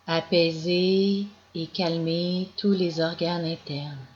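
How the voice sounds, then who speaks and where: Female meditation guide, calm scripted delivery with long pauses, on a dull lo-fi recording with noticeable background noise. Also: on the low side. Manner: slow, calm, measured instructions with long pauses. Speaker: yoga nidra guide.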